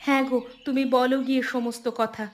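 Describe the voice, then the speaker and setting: Woman acting a high-voiced, earnest village woman. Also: level tone, brisk, a little hiss or hum, natural voice. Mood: concerned, matter-of-fact. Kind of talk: Bengali cartoon voice acting.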